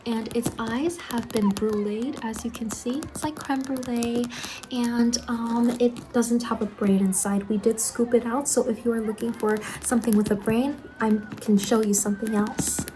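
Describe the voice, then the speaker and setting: A woman plays an eager young saleswoman at a macabre fair stall in an ASMR roleplay. Her voice is gentle and a bit high, with hesitant, apologetic customer-service politeness. Some noise sits behind her. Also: steady tone, moderate pace, close to an everyday voice. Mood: softly explaining.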